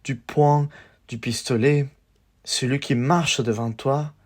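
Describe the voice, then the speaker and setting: A man reciting spoken-word poetry in a measured, declamatory way, in short phrases set apart by pauses. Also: medium pitch, steady tone.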